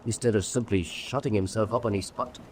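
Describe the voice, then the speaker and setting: An actor playing a weary, frustrated naval officer in a radio drama. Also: male voice, lowish voice, fairly even intonation, fairly quick, a little mellow, a little hiss or hum, lightly acted. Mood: exasperated.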